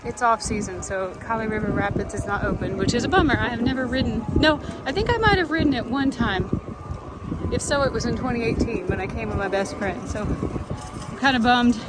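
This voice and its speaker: A woman vlogging while walking through a theme park, chatty and self-deprecating, her voice slightly hoarse. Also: on the high side, a little fast, heavy background noise. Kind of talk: casual vlog narration. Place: outdoor theme park, crowds and music around. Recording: loud park crowd and music behind.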